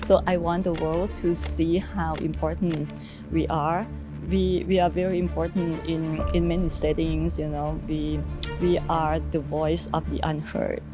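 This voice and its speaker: A woman's voice: sits low, flat delivery, unhurried, over music. A middle-aged woman from northeast Thailand, a native Lao speaker with a Thai accent, giving conversational interview answers.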